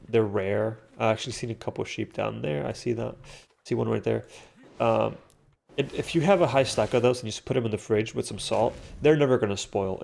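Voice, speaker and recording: A man's voice: medium pitch, gravelly, darkish tone, slight background noise. Congested young man rambling over gameplay. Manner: rambling, profane, many fillers and restarts. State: congested, stuffy nose. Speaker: gaming commentator. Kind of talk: casual solo gameplay commentary. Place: home room.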